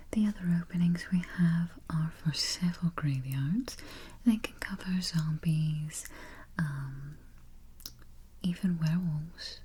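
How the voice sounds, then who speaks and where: Low, slow whispering woman. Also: slight background noise. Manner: soft whisper with murmured mm-hmms. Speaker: ASMR artist playing a spooky travel agent. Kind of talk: whispered ASMR roleplay. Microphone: close sensitive mic. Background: keyboard typing and finger taps.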